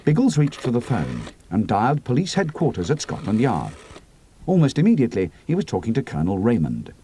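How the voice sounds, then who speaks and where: A man narrating an adventure audiobook in a measured, expressive storytelling style, close to the mic. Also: mid-range voice, slight background noise. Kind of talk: scripted narration.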